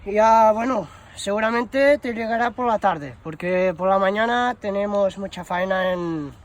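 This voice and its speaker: Man posing as bumbling shop employee, high voice, slow delivery, hesitant, rambling, slangy, prank phone call. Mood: chatty, hesitant. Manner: hesitant, rambling, full of stammered fillers and slang. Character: bumbling shoe shop promotions employee announcing a prize. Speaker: prank caller.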